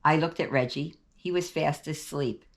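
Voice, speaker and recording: A woman's voice: sits low, normal speed. A woman hosting a children's read-aloud, narrating a picture book expressively and shifting her intonation for dialogue. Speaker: host reading picture books for children.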